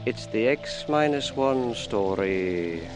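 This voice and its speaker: A man playing a sinister doctor who hosts a radio mystery anthology, deliberate, ominous and theatrical. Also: mid-range voice, animated. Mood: suave, ominous. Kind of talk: scripted radio mystery introduction. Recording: radio show with music and rain bed.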